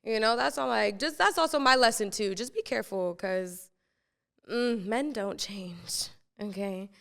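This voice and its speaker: A woman's voice: mid-range voice, measured pace, creaky, a bit bright, long reverb tail. A young woman, hosting a podcast and telling stories from her own life in a chatty, slangy way full of fillers. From San Francisco Bay Area. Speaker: podcast host. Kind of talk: casual storytelling.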